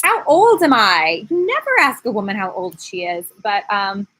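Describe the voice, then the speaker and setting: A woman in her thirties with a bright, forward voice at an ordinary pitch. She talks in a candid, rambling way, like a host casually answering viewers on a live stream from an outdoor porch. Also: wildly swooping pitch, normal speed, rough recording. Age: 30s. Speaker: YouTube host, former teacher.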